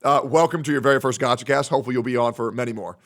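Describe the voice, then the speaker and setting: A man in his thirties hosting a casual group chat, hyped and profane in fast banter. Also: medium pitch. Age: 30s. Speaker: podcast host.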